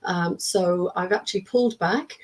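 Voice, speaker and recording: A middle-aged woman from the UK, a spiritualist medium and teacher, chatting in an interview with a croaky, worn-out voice and acting out little quoted exchanges as she tells her stories. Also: fairly low pitch, normal speed. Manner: warm storyteller who acts out quotes of students. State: strained, worn-out throat. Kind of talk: casual interview chat.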